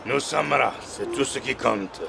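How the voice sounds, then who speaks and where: An actor playing a gruff, practical warrior hero in a fantasy audio drama. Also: male voice, mid-range voice, a little slow. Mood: firm. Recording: voice under clear music.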